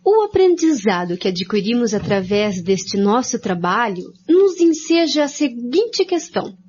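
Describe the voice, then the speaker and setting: A woman reads a formal script as co-presenter of a study programme. Her voice sits a little high, and the recording sounds dull and lo-fi. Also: very animated, measured pace, a little mellow, a little hiss or hum.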